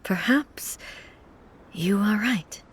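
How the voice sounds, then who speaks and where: An actress playing a composed, gentle noblewoman ruler in a game cutscene. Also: mid-range voice, very slow, a little hiss or hum. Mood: gentle agreement.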